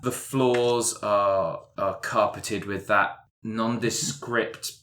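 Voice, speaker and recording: A man with a fairly low voice describes a scene as a player in a roleplaying show. He improvises casually and haltingly, adding detail as he goes. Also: fairly even intonation, fairly bright tone, a little hiss or hum.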